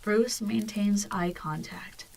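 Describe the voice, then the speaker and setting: A woman giving dramatic scripted narration for an audio drama, in a measured and solemn manner. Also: mid-range voice, gravelly, darkish tone, a little hiss or hum, low-quality recording.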